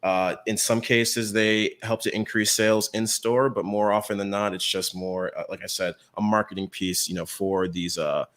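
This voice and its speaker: A man's voice: fairly low pitch, flat delivery, moderate pace, few pauses, a bit bright. A man co-hosting a talk, explaining trends in a casual, chatty way and often checking in with the listener. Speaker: co-host presenting retail trends. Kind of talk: casual conversational presentation.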